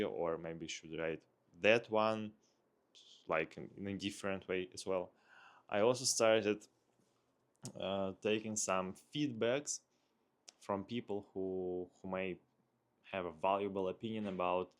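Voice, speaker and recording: A man with a Russian accent speaks very slowly in a fairly low voice. He talks casually as a vlogger, unscripted, often pausing to search for the next phrase as he thinks aloud. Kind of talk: casual unscripted vlog talk.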